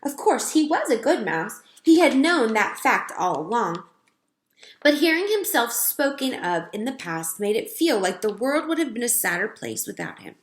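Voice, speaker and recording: Expressive woman reading a storybook. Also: fairly high pitch, normal speed. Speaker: read-aloud reader. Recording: roomy echo.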